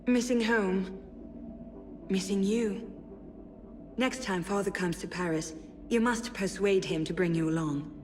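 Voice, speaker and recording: An actress playing a spirited young French noblewoman in a video game, reading letters aloud in an expressive, measured, articulate way. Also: mid-range voice, close to an everyday voice. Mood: wistful.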